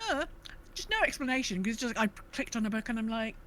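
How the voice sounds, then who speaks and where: A British woman chats casually as a podcast host. Her voice is bright and she tells stories in an animated way, voicing her own reactions. Some background noise sits behind her. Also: a little high, quick pace. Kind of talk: casual gaming podcast chat.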